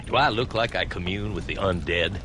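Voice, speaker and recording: An actor playing a tough, weary old frontier marshal in a Western video game, gruff and dryly sarcastic with an American Western accent. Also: male voice, sits low, brisk, fairly bright tone, a little hiss or hum. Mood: dry, sardonic. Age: older. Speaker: town marshal.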